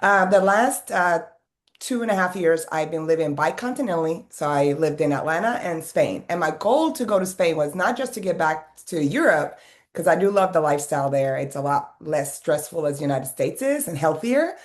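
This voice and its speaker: A woman's voice: low-pitched, animated, moderate pace. A woman in her fifties, raised in Sweden, chatting as a guest in an interview about her background and business. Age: 50s. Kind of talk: casual interview.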